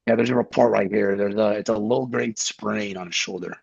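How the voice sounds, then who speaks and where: A man co-hosting a casual sports podcast, speaking with wry, deadpan sarcasm. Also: on the low side, brisk, gravelly, breathy edge, dry recording. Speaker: podcast co-host. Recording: dry, dull lo-fi mic.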